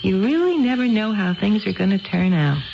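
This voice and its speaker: An actress playing a tipsy, neglected housewife who rambles and confides hesitantly, in a 1970s radio drama style. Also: female voice, medium pitch, sing-song, normal speed, vocal fry, over music. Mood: tipsy confiding. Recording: dull 1970s radio broadcast recording.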